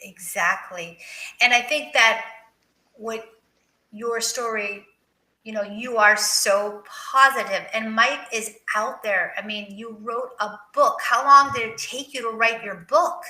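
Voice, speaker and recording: An effusive woman hosts a live interview in a strikingly bright, fairly high voice. She encourages her guest warmly in words and reacts with open enthusiasm. The sound is noticeably roomy and echoing. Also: slow. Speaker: interview show host. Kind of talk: live interview, reading viewer comments.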